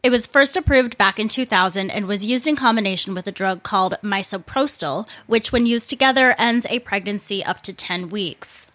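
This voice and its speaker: A woman's voice: mid-range voice, a bit bright, dry recording. A woman hosts her podcast alone, sounding a little congested from allergies. She talks in a chatty, easygoing way and doubles words for emphasis. The sound is dry and close. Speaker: podcast host. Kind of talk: casual solo podcast talk.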